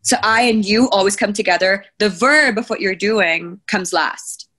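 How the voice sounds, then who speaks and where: A woman in her thirties from Sri Lanka, a podcast guest chatting over a video call from home, talking in quick, animated bursts full of restarts. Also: mid-range voice, husky. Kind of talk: casual chat. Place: home room, indoors. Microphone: video call with headphones.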